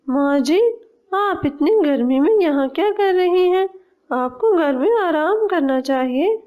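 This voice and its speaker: Lady doing a sweet dutiful young girl bird for a cartoon, really high voice, earnest n polite. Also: expressive, unhurried, muffled recording. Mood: caring. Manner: earnest, respectful. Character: kind, dutiful young female bird, devoted daughter.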